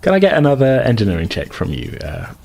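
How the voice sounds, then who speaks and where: A man's voice: mid-range voice, wildly swooping pitch, quick pace, creaky, darkish tone. A man running a tabletop game as game master, explaining things in a hesitant, conversational way. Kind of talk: improvised tabletop game narration.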